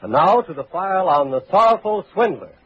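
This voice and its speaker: Male 1940s radio announcer, formal and measured, fairly high voice, narrow tinny recording. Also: big pitch swings. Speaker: radio announcer and sponsor spokesman.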